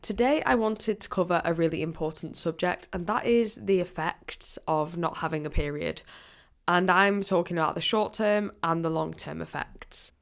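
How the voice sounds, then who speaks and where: A woman hosting her own health podcast, talking alone in a chatty, rambling way with a voice a bit croaky from a recent illness. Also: lowish voice, normal speed. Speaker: podcast host. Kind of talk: solo health podcast talk.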